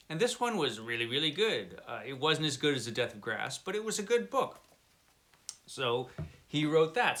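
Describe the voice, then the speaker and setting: A man with a bright, forceful voice hosts a casual book chat. He speaks straight to his viewers in an emphatic way, repeating words for stress. His pitch sits in an ordinary male range. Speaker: book review channel host.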